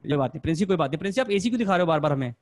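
A young man doing stand-up crowd work on a video call, teasing the audience with quick, laughing banter into a stand mic at home. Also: on the high side, expressive. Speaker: stand-up comedian hosting a video-call show. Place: room at home. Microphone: stand mic in frame. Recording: noisy video call audio.

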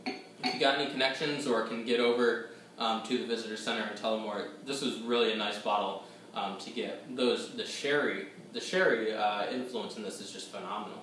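A man's voice: lowish voice, steady tone, a little fast, a bit bright, slight background noise. A young man in his twenties giving a casual whiskey tasting review to the camera, rambling and hesitant with many fillers, seated at a table in a small room at home. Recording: echoey room, voice a bit distant.

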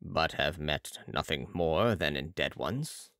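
A reader doing a grave, quiet mysterious master. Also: a bass voice, lively intonation, slow pace, no echo, clean studio sound, pitched below the performer's own voice, full character voice. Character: solemn mysterious Italian male master. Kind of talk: audiobook character reading.

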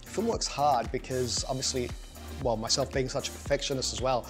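A man's voice: medium pitch, steady tone, fairly quick, over music. A middle-aged man, a hairstylist, talking enthusiastically with many fillers in a seated interview in a living room. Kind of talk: sit-down interview.

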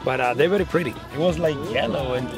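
A man's voice: a little high, fast, music in the background. A man from the Dominican Republic, chatting casually on a beach for a travel vlog, upbeat and talking to his viewers. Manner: upbeat, chatty, keeps addressing viewers as guys. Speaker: vlogger. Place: outdoors on a beach.

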